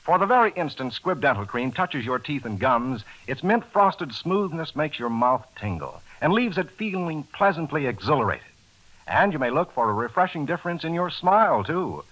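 A man's voice: fairly high pitch, fairly bright tone, low-quality recording. A man with an American accent, a polished announcer reading a 1940s radio commercial with emphatic salesmanship.

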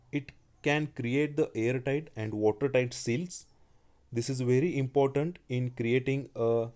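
A man giving a slide-based technical lecture, speaking haltingly with frequent restarts and fillers. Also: medium pitch, mellow. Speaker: engineering lecturer.

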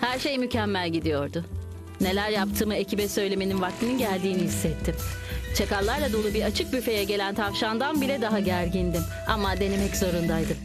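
A woman's voice: medium pitch, fairly quick, lightly acted. A woman voicing an eager young insect who narrates like a hard-boiled detective in a dubbed cartoon, dramatic and mock-serious. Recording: music under the voice.

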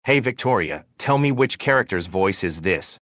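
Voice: low-pitched, animated, moderate pace, a bit bright, no echo, pitched below the performer's own voice. A performer voicing a confident male anime fighter hero through an AI voice changer. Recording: dull lo-fi voice chat audio.

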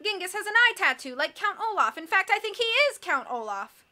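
A woman's voice: high-pitched, expressive, moderate pace, pushed and bright, faint music behind. A woman voicing a fussy, ineffectual middle-aged man in an audiobook, earnest and stuffy. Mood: alarmed realization. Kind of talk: audiobook character acting.

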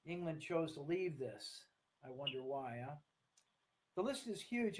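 A man in his sixties with an American accent, giving an earnest online talk to camera in a halting, deliberate way. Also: a little high, rough recording. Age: 60s. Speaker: speaker, coach. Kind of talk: online talk to camera, partly read from notes. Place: small room at home, indoors. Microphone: webcam or laptop at desk distance.

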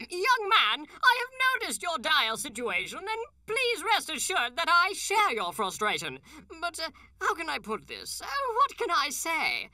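A woman voicing a pompous, evasive male mayor who hems and clears his throat. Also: high-pitched, wildly swooping pitch, unhurried, hardly any pauses, bright, forceful tone, dry recording, voice pushed up, heavily acted. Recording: distant mic.

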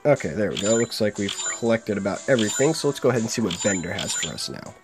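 A man's voice: fairly low pitch, animated, quick pace. A young man with a sore throat chatting casually through a game, reading its lines aloud. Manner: chatty, laughs while reading. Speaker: game let's play host. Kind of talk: casual gameplay commentary, reading dialogue aloud. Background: faint game music.

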